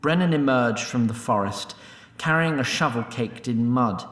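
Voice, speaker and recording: A male narrator tells a scripted fantasy story with droll, mock-grand theatricality. His mid-pitched voice rises and falls expressively, with an echoey room sound around it. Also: expressive, moderate pace, fairly bright tone.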